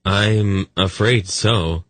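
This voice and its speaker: A man's voice: on the low side, drawn-out words, a bit bright, no echo, voice pushed up. A man voicing a young male hero who sounds dismayed and reluctant in an audiobook.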